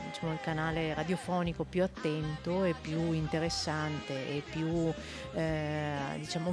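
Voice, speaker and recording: A woman who directs a film festival, giving interview answers in hesitant, halting phrases. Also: low-pitched. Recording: music playing behind the voice.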